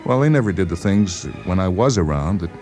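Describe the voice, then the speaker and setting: A man voicing a defensive, suspicious psychiatric patient with an insistent, halting delivery in a radio story reading. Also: low-pitched, animated, darkish tone. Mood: earnest, bitter. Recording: voice over background music.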